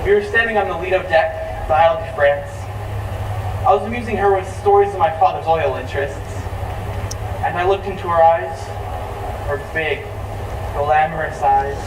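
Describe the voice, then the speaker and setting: A man's voice: a little high, moderate pace, pauses often, noisy background, lightly acted. A man playing a cheerful, dashing young groom, blindfolded and clumsy, with a jaunty storytelling manner, in a community musical. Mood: breezy. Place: outdoor amphitheater stage. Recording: distant, echoey stage sound with loud band.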